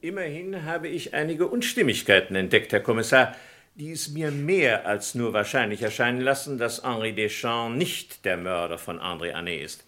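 Polite but persistent sleuth in a radio crime play, mid pitch, very animated delivery, formal. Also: male voice, a little hiss or hum. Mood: probing, courteous. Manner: formal, probing, deliberate. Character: persistent, polite French male investigator, sharp reasoner. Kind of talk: German radio crime drama acting.

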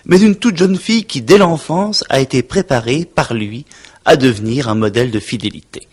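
A man reading a scripted introduction to a stage play in a measured, clear manner. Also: mid-range voice, animated. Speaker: narrator. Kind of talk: scripted narration.

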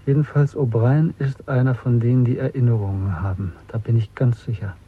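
A man's voice: medium pitch, fairly even intonation, a little fast, husky, lightly acted. An actor playing a bitter, haunted male dissident in a German radio drama, speaking earnestly and insistently. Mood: guarded, insistent. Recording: muffled, narrow phone-like sound.

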